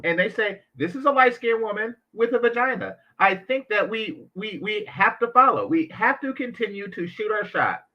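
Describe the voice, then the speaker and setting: Sarcastic guy ranting over a clip, high voice bouncing all over, kinda muffled lo-fi sound. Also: normal speed, few pauses. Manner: animated, sarcastic, mocking asides. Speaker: commentary channel host. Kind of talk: reaction commentary on a clip.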